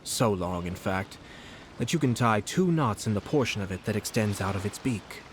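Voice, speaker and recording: An actor playing a composed, courteous young noble commissioner in a video game, measured, formal and subtly sardonic. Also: male voice, on the low side, lively intonation, a little hiss or hum, natural voice. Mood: wry, teasing.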